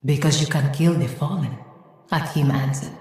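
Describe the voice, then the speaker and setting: An actress playing a wise, gentle woman who remembers a lost age, telling it in a measured, solemn way for a video game. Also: low-pitched, fairly even intonation, lightly acted. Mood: reminiscing. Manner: measured, reflective, solemn storytelling. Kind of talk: dramatic video game monologue. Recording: echoey hall with long reverb.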